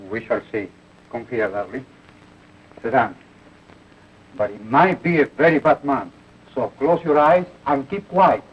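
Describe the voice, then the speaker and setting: An actor playing a wary crook who humours a child in a coaxing way, in a 1930s film with muffled, noisy sound. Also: male voice, medium pitch, lively intonation, measured pace, long pauses, slightly rough. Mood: soothing, wary.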